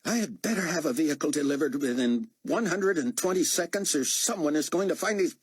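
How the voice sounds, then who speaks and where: A man's voice: mid-range voice, huge pitch swings, moderate pace, creaky, darkish tone, slightly rough, no echo, pitched above the performer's own voice, exaggerated voice. A man voicing a tense, impatient male security director who barks curt orders in an audiobook. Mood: barking. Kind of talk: audiobook character voice.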